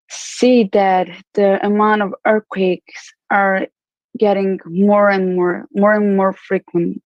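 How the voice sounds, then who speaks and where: A woman giving an earnest, urgent testimony to an online audience, often pausing on fillers. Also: medium pitch, a little mellow, no room sound. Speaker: conference participant sharing a testimony. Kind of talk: unscripted speech to an online audience. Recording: dull lo-fi audio.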